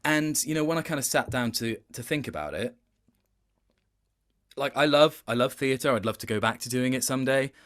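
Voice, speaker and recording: A man with a mid-pitched voice talks casually as an interview guest. He is reflective and halting, often restarting his phrases as he finds the words. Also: lively intonation, fairly bright tone. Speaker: interview guest, video game voice actor.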